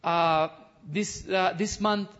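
A man preaching as a missionary pastor, his high, bright voice swelling into fervent shouts. His English is non-native, full of restarts and broken phrasing. The recording sounds dull and lo-fi. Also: steady tone, takes it slowly. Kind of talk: impassioned sermon testimony.

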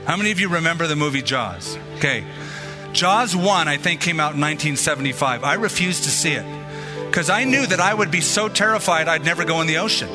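A man's voice: fairly high pitch, expressive, brisk, over music. A man preaching a sermon, telling stories and acting out the voices of people he quotes. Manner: storytelling, acts out quoted voices. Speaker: pastor. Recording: long hall reverb.

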